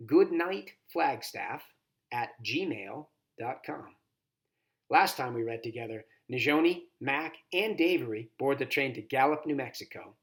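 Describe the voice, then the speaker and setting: A man's voice: mid-range voice, a bit bright. A man reading a children's novel aloud expressively, as a volunteer story reader.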